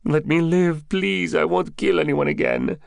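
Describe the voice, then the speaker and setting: A cowed male captive from an audiobook thriller, acted out by a male narrator, speaks in a raised, swooping voice. He pleads and babbles, yet is quick to boast. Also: on the high side, animated, dry recording, studio quality, pitched above the performer's own voice. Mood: terrified pleading. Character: frightened male captive, boastful young drug-gang escort. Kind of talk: audiobook character dialogue.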